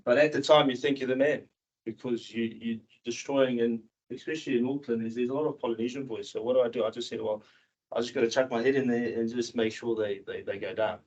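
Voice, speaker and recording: Halting man speaking informally, muffled recording. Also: mid-range voice, steady tone, a little mellow. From South Africa, moved to New Zealand in his early teens. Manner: halting, repetitive, candid. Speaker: seminar speaker, cricket coach and former professional cricketer. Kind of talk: informal personal talk to an audience. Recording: dull, muffled seminar recording.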